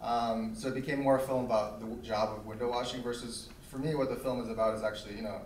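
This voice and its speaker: A man's voice: mid-range voice, fairly even intonation. Hesitant man answering in an echoey hall. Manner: halting, many restarts and fillers. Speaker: film director. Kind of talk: festival Q&A answers. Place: theater. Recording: echoey hall, distant mic, faint noise.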